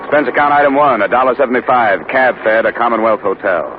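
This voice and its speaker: An actor playing a wry, hard-boiled insurance investigator in a 1950s radio drama, with clipped, dry delivery. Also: male voice, mid-range voice, fast, close to an everyday voice. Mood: dry, businesslike. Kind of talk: 1950s radio detective acting and narration. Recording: tinny 1950 radio recording, loud music.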